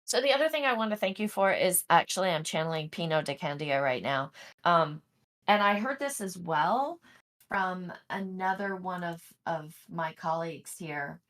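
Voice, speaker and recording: A woman's voice: fairly low pitch, expressive, moderate pace, a bit bright. A woman hosting a podcast in a chatty, playful way. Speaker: podcast host. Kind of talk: casual podcast conversation.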